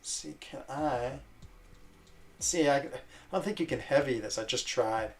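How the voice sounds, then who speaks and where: A man who grew up in Switzerland, chatting casually and rambling while he plays a video game, breaking off into quick exclamations. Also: medium pitch. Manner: rambling, self-interrupting chatter with fillers and sudden exclamations. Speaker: gaming streamer.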